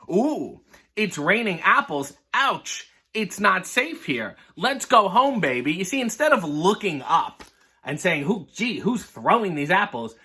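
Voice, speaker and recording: Male comic storyteller, high and bright, wryly digressing from the children's book he reads. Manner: mock-concerned, wry, digressive. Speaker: host reading a children's book aloud. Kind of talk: comic storybook reading with running commentary.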